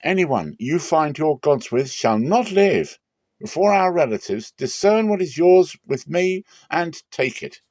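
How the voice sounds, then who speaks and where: A man's voice: fairly high pitch, sing-song, a little slow, darkish tone, no room sound, studio quality, voice pushed up. A man voicing a frightened younger man defending himself in a dramatic scripture reading, earnest and pleading.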